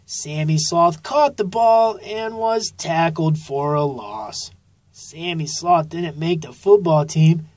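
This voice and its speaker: Low-pitched woman, children's story narration, deliberate slow delivery, lively intonation, dry studio sound. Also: dry recording. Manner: deliberate, draws out key phrases. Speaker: author reading own children's book. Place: recording studio.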